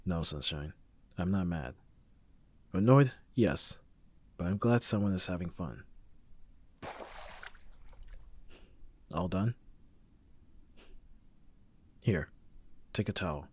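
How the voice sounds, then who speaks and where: A man playing an abrasive young bodyguard who is secretly soft, in an ASMR roleplay audio drama, with gruff, protective exasperation. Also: low voice, animated, slow, pauses often, slight background noise. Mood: reluctant, softening.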